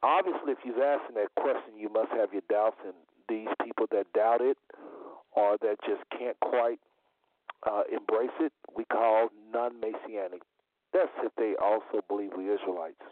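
Thin phone-quality audio, dry, man, slightly under-the-weather voice, deliberate radio host, repeats phrases for emphasis. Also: lowish voice, fairly even intonation, no echo. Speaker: talk show host. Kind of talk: unscripted call-in religious talk show hosting.

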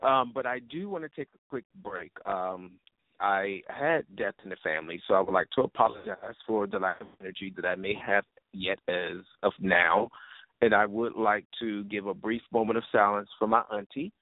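Low-voiced man hosting over a phone-like line. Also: normal speed. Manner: informal, rambling, slangy. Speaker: radio show host. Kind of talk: casual internet radio hosting. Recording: roomy, phone-like internet radio line.

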